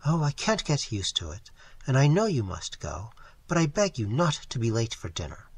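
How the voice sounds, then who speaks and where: A man voicing an anxious, tender young woman in an audiobook, speaking softly in a hushed, nervous, pleading way. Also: mid-range voice, huge pitch swings, moderate pace, slightly rough, no echo, heavily acted. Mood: distressed, pleading. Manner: soft, hushed, nervous, faltering. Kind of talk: literary audiobook character dialogue.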